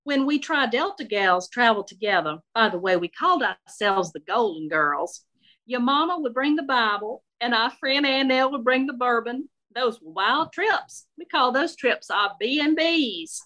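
A woman's voice: on the high side, animated, normal speed, pushed and bright, pitched above the performer's own voice, low-quality recording. A woman voicing an outrageous, nosy Southern lady in her seventies, effusive and full of endearments, in a personal story. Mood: confiding. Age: 70s. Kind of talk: live-style personal storytelling.

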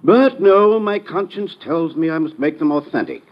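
A man's voice: fairly high pitch, expressive, normal speed, lightly acted. Man playing a fussy, swooping little professor. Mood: fussy, complaining. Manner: pompous, formal, precise diction. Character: fussy, pompous little male folklore professor. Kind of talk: 1950s radio drama acting. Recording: dull old radio recording.

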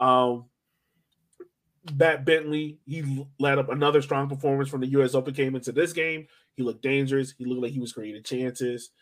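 A man's voice: medium pitch, steady tone, normal speed. An American man co-hosting a sports podcast in a casual, slangy chat style, breaking down players and performances. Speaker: podcast co-host.

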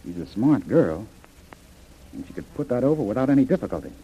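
Dull old radio recording, guy playing a husky adventurer, troubled but decisive, very lively delivery. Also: mid-range voice, fairly quick, a little hiss or hum, close to an everyday voice. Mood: wary. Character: decisive trusting adventurer man, troubled by a puzzle.

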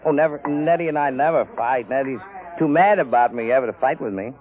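An actor playing a weary, put-upon American husband in 1950s radio style, grumbling wryly. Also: male voice, mid-range voice, brisk, natural voice. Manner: grumbling, wry. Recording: narrow-band vintage radio recording.

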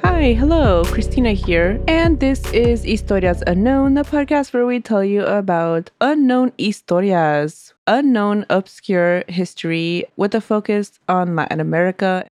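A woman's voice: mid-range voice, a little slow, few pauses, over music. A woman hosting a history podcast, reading a scripted story aloud while stuffy from a cold. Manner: steady storytelling narration. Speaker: podcast host.

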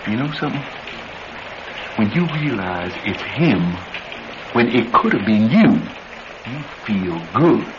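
An actor with a Southern US accent playing a cocky, menacing young escaped killer in a radio drama, folksy and taunting. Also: male voice, medium pitch, very animated, takes it slowly, long pauses, a crowd in the background. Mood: boastful. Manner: folksy drawl, taunting and boastful. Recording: noisy, dull old radio drama recording.

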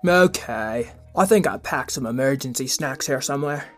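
A woman's voice: extremely low pitch, animated, moderate pace, soft background music. Woman doing goofy little boy sidekick in a kids audio drama, flippant, jokey, always hungry. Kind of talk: children's audio drama acting.

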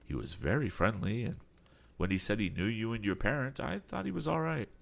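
A man voicing a calm, polite older sea captain who keeps adding apologetic asides. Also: fairly low pitch, brisk, vocal fry, voice pushed up.

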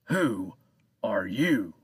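Man doing a calm, cryptic old island keeper. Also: mid-range voice, breathy edge, no echo, distant mic, full character voice. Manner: slow, measured, riddling.

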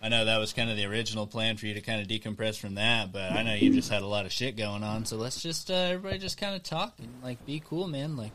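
A man's voice: fairly low pitch, fairly even intonation, quick pace, hardly any pauses, a bit bright, a little hiss or hum. A man hosting a casual garage podcast, laid-back and swearing freely, calling people dude and bro. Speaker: podcast host.

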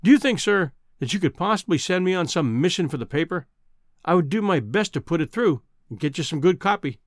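A man's voice: fairly high pitch, lively intonation, a little fast, no room sound, studio quality, close to an everyday voice. A man voicing an earnest, deferential young newspaper reporter in a storybook reading. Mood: eager, earnest. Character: eager, earnest young male newspaper reporter seeking adventure.

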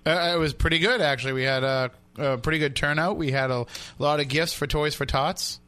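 A man's voice: a little high, a little fast. A man hosting a radio talk show, chatting casually with callers and stumbling over restarts. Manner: relaxed, friendly, stammers on restarts.

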